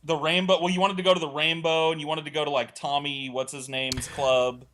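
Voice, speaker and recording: Higher-pitched man with a bright, forceful voice. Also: a little fast, a little hiss or hum. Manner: rambling, crude, animated storytelling with acted-out quotes. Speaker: podcast co-host telling a story. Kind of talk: casual comedic anecdote.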